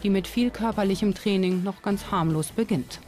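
A documentary narrator reading a scripted commentary in a measured, explanatory way. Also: mid-range voice. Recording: music bed under narration. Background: music.

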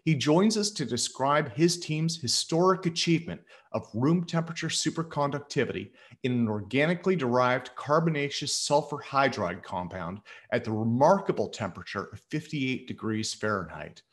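Middle-aged host reading formal questions. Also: male voice, mid-range voice, lively intonation, normal speed, hardly any pauses. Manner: reads smoothly and formally. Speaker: interview host. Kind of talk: scripted introduction and questions. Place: home room. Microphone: headset on video call.

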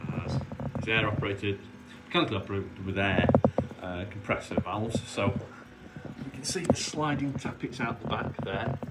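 Low-voiced man explaining in an echoey room. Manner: plain, matter-of-fact, pointing things out. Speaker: signalman giving a tour. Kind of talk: technical explanation on a walkthrough. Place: inside a railway signal box. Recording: echoey room with loud background noise.

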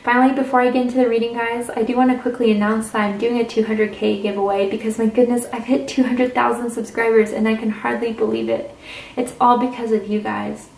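A woman giving a casual tarot reading to camera at a table, chatty and intuitive, with drawn-out "ooh"s and "mm"s. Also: on the high side, flat delivery, creaky, a little mellow. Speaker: tarot reader. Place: indoors at a tarot table with crystals and candles. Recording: roomy, distant camera mic with faint hiss.

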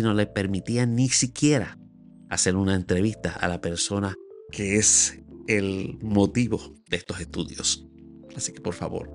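An older Puerto Rican man delivers earnest, scolding commentary, his voice on the low side. He speaks close into a studio mic, gesturing as he talks. Faint music plays softly behind him. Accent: Puerto Rican Spanish. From Puerto Rico. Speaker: YouTube commentator. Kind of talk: opinionated solo commentary. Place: small room at home, indoors. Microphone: large studio mic on a boom, close up.

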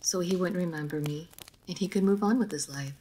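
A woman voicing a formal, guarded, melancholy aristocratic vampire in a roleplay audio drama, speaking in measured phrases to the listener. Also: fairly low pitch, warm tone, a little hiss or hum, lightly acted, poor audio. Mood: reserved, resigned.

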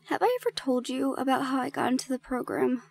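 A woman playing a shy, gentle young gorgon in an intimate audio roleplay, speaking softly and haltingly. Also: sits high, gravelly, no echo. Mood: reflective, confiding. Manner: soft, hesitant, halting.

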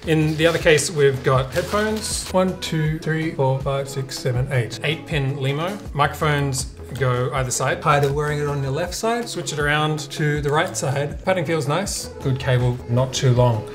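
A man's voice: fairly high pitch, normal speed, few pauses, music in the background. A man in his thirties with an Australian accent, talking casually through a tech review as a vlogger. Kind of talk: casual unboxing and review narration.

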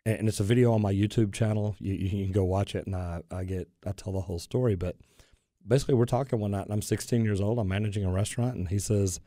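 Animated man in his sixties. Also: on the low side, fast, no room sound, studio quality. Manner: animated storyteller, gestures while talking. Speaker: plumber guest on talk radio. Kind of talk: casual radio chat and storytelling. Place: radio studio, indoors. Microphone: studio boom mic with headphones.

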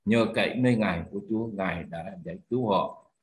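A man's voice: fairly low pitch, fast talker. A man, a pastor, leading a spoken prayer with fervent repeated cries to God between phrases.

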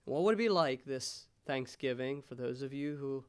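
An American man in his thirties preaching a sermon as a pastor in a measured, pausing way. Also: medium pitch, animated, clear, resonant tone. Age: 30s. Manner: measured, pausing, rhetorical questions. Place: church sanctuary, indoors. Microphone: gooseneck pulpit microphone.

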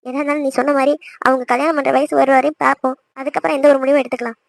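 A woman's voice: sits high, little pitch movement, racing, vocal fry, no echo. A woman playing an anxious, scolding middle-aged mother in a family drama, fretful and emphatic. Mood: doubtful, firm. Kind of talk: melodramatic family audio drama.

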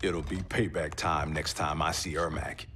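An actor with an American accent playing a tough, easygoing army major in a video game, casual and confident. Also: male voice, extremely low pitch, steady tone, moderate pace, pushed and bright, a little hiss or hum. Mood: casual, reflective. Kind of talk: naturalistic game acting.

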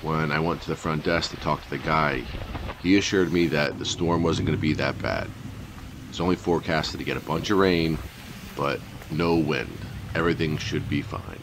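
A man narrating his travel vlog in a relaxed, chatty voice-over. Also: rumbling low, moderate pace, background noise. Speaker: vlogger.

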